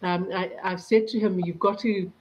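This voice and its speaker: A woman from South Africa, an English tutor, explaining things in a measured way on a video call. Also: medium pitch, fairly even intonation, noisy background. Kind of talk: casual video-call chat.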